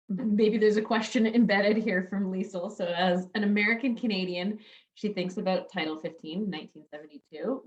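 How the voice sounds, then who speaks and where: A woman reading out audience questions on an online panel, measured as she reads. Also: on the low side. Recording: distant dull video call audio.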